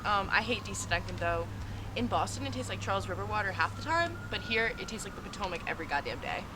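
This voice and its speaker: A woman's voice: medium pitch, animated, fast talker, close to an everyday voice. A woman playing a skeptical, easily exasperated young woman on a date in a comedy sketch. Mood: awkward, friendly. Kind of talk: acted comedy sketch dialogue. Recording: noisy outdoor location sound.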